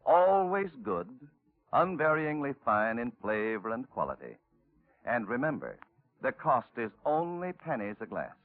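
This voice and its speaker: A man's voice: mid-range voice, no echo. A man announcing a scripted radio commercial in a formal, emphatic 1940s style. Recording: dry, tinny 1940s radio recording.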